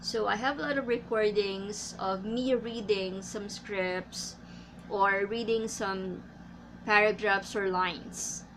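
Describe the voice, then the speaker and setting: A woman from the Philippines with a Filipino accent, an English teacher giving tips in a casual vlog, speaking carefully with many pauses and fillers. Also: medium pitch, steady tone, background noise. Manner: slow and careful, frequent uh fillers.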